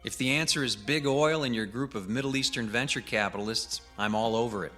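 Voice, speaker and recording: A man's voice: mid-range voice, fairly quick, sharp tone, faint music behind, close to an everyday voice. An actor playing a cocky, persistent male investigative reporter in a radio drama, probing and needling with sardonic remarks.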